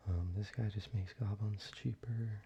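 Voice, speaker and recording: A man speaking in a soft, breathy whisper, gently rambling in a casual ASMR style. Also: on the low side, fairly even intonation, unhurried. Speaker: ASMR video creator. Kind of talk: soft-spoken ASMR, casual card-collection show-and-tell. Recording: muffled, with background hiss.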